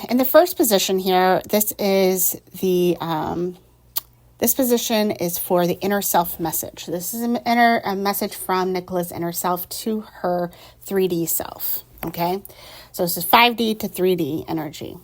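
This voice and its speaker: A woman with a slightly low voice and the sniffles talks through a tarot reading in a casual, unscripted way. She is blunt and emphatic, swears offhandedly, and keeps checking in with "okay?". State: has the sniffles. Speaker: tarot reader.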